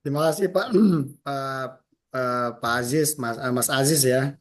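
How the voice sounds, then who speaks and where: Hoarse, hesitant man. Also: mid-range voice, unhurried. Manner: hesitant, many fillers. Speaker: association chair.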